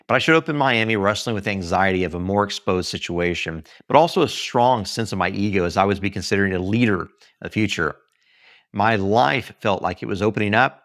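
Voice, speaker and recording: An American man from Texas reading his own memoir aloud as scripted narration, with dramatic, emphatic delivery and deliberate pauses. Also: fairly low pitch, a bit bright, dry recording, clean studio sound. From Texas, United States. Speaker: author reading own book.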